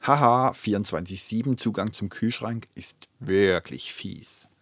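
A man's voice: on the low side, unhurried, slightly rough, dry recording. A man playing a blunt, sardonic social media commenter in a radio drama collage. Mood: amused.

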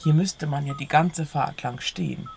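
Audio play narrator, first-person literary storytelling with quoted dialogue. Gravelly fry, middle pitch, slight background noise. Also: normal speed, darkish tone.

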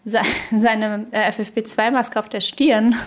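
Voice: mid-range voice, flat delivery, unhurried. A woman's voice co-hosting a podcast in casual chat, playful and ironic, wandering from thought to thought. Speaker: podcast co-host.

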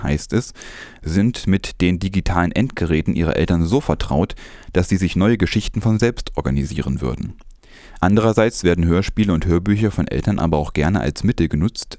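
A man's voice: deep voice, mellow, no room sound, studio quality. A man reading scripted news as a presenter.

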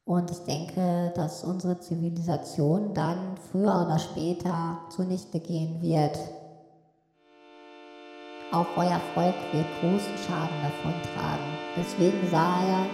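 A woman's voice: lowish voice, little pitch movement, slow, dark tone. A woman playing a magic mirror from another dimension in an amateur audio drama, rambling and hesitant, with heavy reverb on the voice. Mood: solemn, ominous. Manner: hesitant, rambling delivery between solemn pronouncements. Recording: echoey long reverb, music behind.

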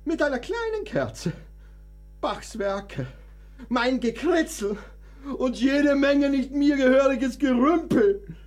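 Sickly, querulous composer, wildly animated. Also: male voice, very high-pitched, slow, heavily acted. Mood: wry. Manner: dramatic, complaining, sarcastic. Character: sickly, querulous male composer, bitter and theatrical. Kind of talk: radio play acting.

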